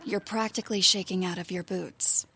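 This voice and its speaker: A woman's voice: lowish voice, fairly even intonation, moderate pace, pushed and bright, breathy edge, full character voice. An actress playing a tough but fair female knight captain in an audio drama, commanding, firm and no-nonsense. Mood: stern, commanding. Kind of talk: scripted audio drama acting.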